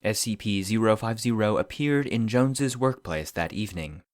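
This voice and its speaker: A man's voice: fairly low pitch, normal speed, no echo, studio quality. A man reading scripted fictional case files as a narrator, in a formal, clinical report manner.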